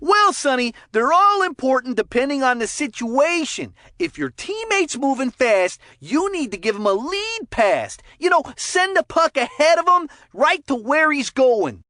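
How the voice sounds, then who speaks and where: Cartoon hockey color commentator, high-pitched, swooping intonation, folksy, chummy, excitable ex-player. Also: female voice, measured pace, hardly any pauses, dry recording, clean studio sound, heavily acted. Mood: chatty, explanatory. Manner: folksy, chatty, mild exclamations. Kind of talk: cartoon sports game commentary.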